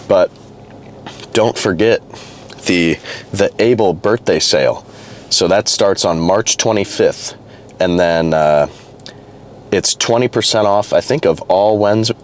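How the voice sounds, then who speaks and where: A young man vlogging casually to camera, a little hoarse and congested from a cold, rambling loosely with restarts and fillers. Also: low-pitched, slight background noise. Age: young adult. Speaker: fitness vlogger. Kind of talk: casual vlog talk to camera.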